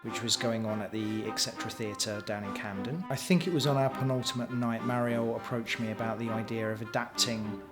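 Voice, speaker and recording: A man's voice: mid-range voice, steady tone, fairly quick, few pauses, loud music behind the voice. A man, a writer and director, explaining and reminiscing in an interview.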